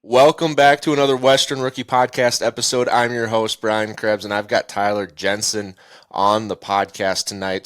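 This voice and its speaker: A man hosting a podcast interview, asking chatty, relaxed questions in casual slang. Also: lowish voice, dry recording. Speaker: podcast host.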